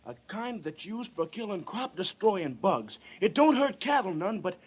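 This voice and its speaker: A man's voice: high-pitched, sing-song, moderate pace, slightly rough, heavily acted. An actor playing an earnest, desperate frontier boy in a 1930s radio western, with folksy speech. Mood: earnest explaining. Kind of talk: 1930s radio drama acting. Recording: noisy 1938 radio recording.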